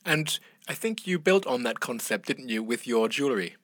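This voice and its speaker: A man's voice: mid-range voice, lively intonation, normal speed, fairly bright tone, no echo, clean studio sound. A man hosting a conversational interview, asking questions and responding to his guest. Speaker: interviewer, host.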